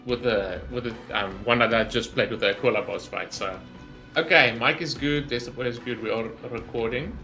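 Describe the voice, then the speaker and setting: A man's voice: medium pitch, sharp tone. A man giving casual, rambling game reaction commentary in an informal way, as a gaming YouTuber.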